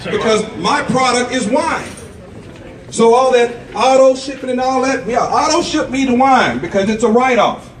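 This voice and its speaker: A man with a high voice hypes up a crowd, pitching and introducing guests with salesy energy. He sounds far from the mic in an echoey hall full of background noise. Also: animated, normal speed. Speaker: event host. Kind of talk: hyping up a sales event. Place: event venue with a crowd.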